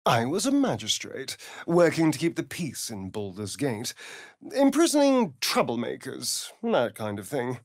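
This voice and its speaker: An actor with a British accent playing a sardonic, preening vampire rogue in a fantasy video game, with an arch, drawling, theatrical delivery. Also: male voice, mid-range voice, huge pitch swings, unhurried, no echo, studio quality. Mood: wry.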